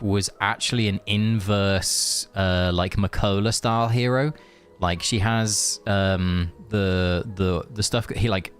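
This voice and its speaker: A man chatting casually on a game live stream, rambling and answering viewers as he plays. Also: lowish voice. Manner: rambling, chatty, reads chat aloud.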